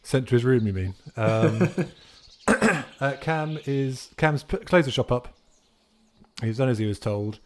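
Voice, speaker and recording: A man's voice: medium pitch, animated, a little slow, pauses often, slight background noise. A man improvising narration as a player in a roleplaying game, piecing details together aloud.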